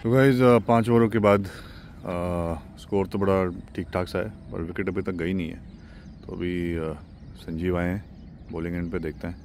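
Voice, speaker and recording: A man's voice: deep voice, steady tone, moderate pace, darkish tone, a little hiss or hum. A man casually narrating a match-day vlog at an outdoor cricket ground, chatty and explaining the plays as they happen. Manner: chatty, mixes English into Hindi, explains plays. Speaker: vlogger and amateur cricket player.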